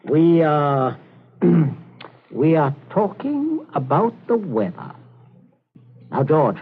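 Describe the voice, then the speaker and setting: A man's voice: a little high, sing-song, very slow, slight background noise, heavily acted. An actor playing a stern, pompous middle-aged father who snaps orders in a 1940s radio thriller. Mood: calculating, impatient. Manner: pompous, scolding, clipped orders. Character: stern middle-class father, pompous, snappish, obsessed with respectability. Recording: tinny old 1940s radio broadcast.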